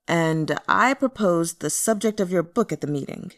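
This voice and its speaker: A woman voicing a curt, listless male book editor in an audiobook, measured and critical. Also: high voice, expressive. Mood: matter-of-fact. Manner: measured, dismissive. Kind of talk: audiobook character dialogue.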